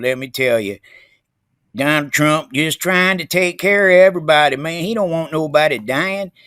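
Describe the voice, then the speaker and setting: A man with a high, bright voice and a dry, close sound delivers a comic monologue. He talks in a folksy, emphatic way and keeps tacking "son" onto his sentences. His energy stays high throughout. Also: no room sound. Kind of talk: comedic monologue.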